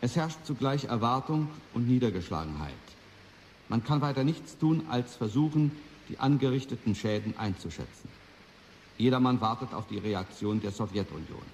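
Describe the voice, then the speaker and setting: Sombre male command spokesman played by an actor for radio drama; medium pitch, big hall reverb, slight hiss. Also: expressive, normal speed, darkish tone, lightly acted. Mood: sombre, tense. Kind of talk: 1980s radio drama, formal report.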